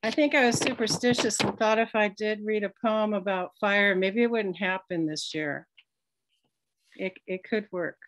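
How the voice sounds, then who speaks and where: An older woman poet thanking the hosts, then reading her own poems in a slow, measured way on a video call from home. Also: mid-range voice, fairly bright tone, poor audio. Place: home room with curtains. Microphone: laptop webcam on video call.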